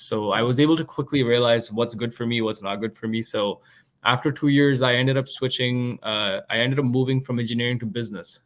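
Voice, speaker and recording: Brief: young man in his twenties, guest on a podcast, laid-back and chatty, mid-range voice. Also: medium pitch. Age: 20s. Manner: relaxed, chatty storytelling. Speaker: guest, product manager. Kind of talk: casual interview talk.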